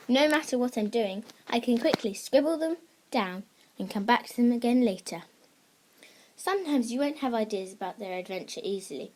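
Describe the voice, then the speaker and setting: High-voiced woman teaching children. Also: darkish tone. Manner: simple, encouraging address to young listeners. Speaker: creative writing teacher and video host. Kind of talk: scripted instructional narration for children.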